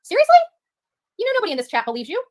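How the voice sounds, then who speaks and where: A woman's voice: very high voice, huge pitch swings, rapid-fire, no echo, studio quality. A woman hosting a rambling livestream and chatting to viewers, emphatic and prone to repeating herself. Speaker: livestream host reading viewer chat. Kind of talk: rambling live-stream chat.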